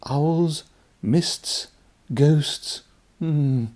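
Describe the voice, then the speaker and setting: A man's voice: fairly high pitch, drawn-out words, a little mellow, pitched above the performer's own voice. A man voicing a proud, grumbling but nervous steam engine in a storybook, with a huffy, blustering manner.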